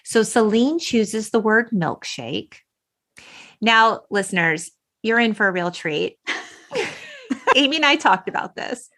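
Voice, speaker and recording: A woman's voice: on the high side, big pitch swings, takes it slowly, pauses often, sharp tone. A woman hosting a podcast, recapping a film conversationally and acting out bits of dialogue as she retells it. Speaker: podcast host.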